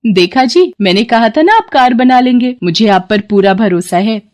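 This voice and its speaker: A woman voicing a supportive young wife in an animated moral story, reassuring and emphatic. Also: fairly high pitch, moderate pace, pitched above the performer's own voice, lightly acted. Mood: urging.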